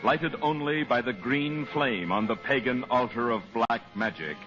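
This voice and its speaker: A man with an American accent announcing and narrating a 1940s radio serial in a theatrical, deliberate scripted style. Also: mid-range voice. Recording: muffled 1940s radio broadcast. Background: music.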